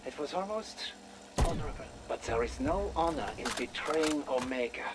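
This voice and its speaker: Gloating, lively-pitched game villain. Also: male voice, vocal fry, heavy background noise, exaggerated voice. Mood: smug, gloating. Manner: theatrical, gloating, deliberate. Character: gloating villainous male Omega infiltrator, coldly smug traitor-hunter. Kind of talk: video game villain monologue.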